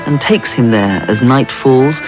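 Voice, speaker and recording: A man's voice: mid-range voice, a little slow, low-quality recording. A man reading scripted narration for a radio drama. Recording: medium-wave radio broadcast taped off air. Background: music.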